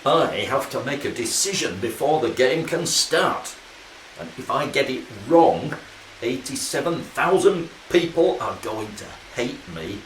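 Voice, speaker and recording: An actor playing a cautious, principled rugby referee in a radio play, speaking formally and firmly. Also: male voice, mid-range voice, expressive, a little slow, close to an everyday voice. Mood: anxious resolve. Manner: formal, firm, pedantic. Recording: echoey, distant, loud background hiss.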